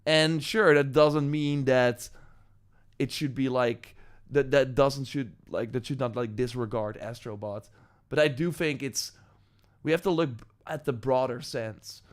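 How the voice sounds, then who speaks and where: A man's voice: mid-range voice, a little slow, smooth. A man with a Dutch accent, a native Dutch speaker, hosting a live stream, reading viewer comments and rambling loosely with many ums and likes. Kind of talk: casual live-stream talk, reading chat.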